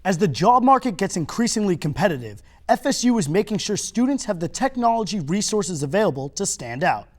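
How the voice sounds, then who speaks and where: Lively young male student news reporter. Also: sits high, fairly quick, few pauses. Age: young adult. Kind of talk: scripted news narration.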